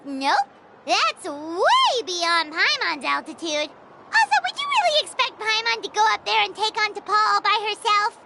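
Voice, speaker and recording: A woman voicing a small, childlike flying fairy companion in a video game, squeaky, chatty and exclamatory. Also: very animated, measured pace, vocal fry, heavy background noise, exaggerated voice. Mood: doubtful. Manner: exclamatory, refers to herself by name. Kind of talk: video game character dialogue.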